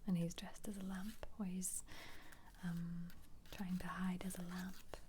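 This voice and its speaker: A woman speaking as an ASMR host in a gentle whisper, musing casually and without hurry as she flips through a book. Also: lowish voice, level tone, a little slow, a little hiss or hum. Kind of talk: soft-spoken ASMR book flip-through.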